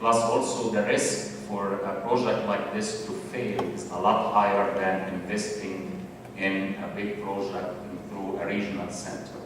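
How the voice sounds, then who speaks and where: A man from Egypt with an Egyptian accent giving a public presentation, halting with fillers and restarts. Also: low voice, level tone, noisy background. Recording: echoey hall from far off.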